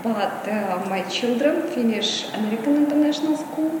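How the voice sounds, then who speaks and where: A middle-aged woman with a Russian accent talks about her shop in halting English, repeating and restarting words. Her voice sits a little high. The recording rings with long reverb and echo, over a clear ambience. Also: animated, background noise. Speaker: boutique owner. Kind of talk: street interview.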